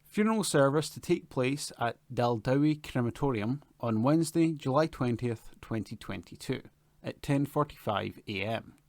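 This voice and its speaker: A man with a mid-pitched voice reads printed announcements and articles aloud. He works from a script as a volunteer newspaper reader, in a dry recording made at home. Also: expressive, moderate pace, a little mellow, dry recording.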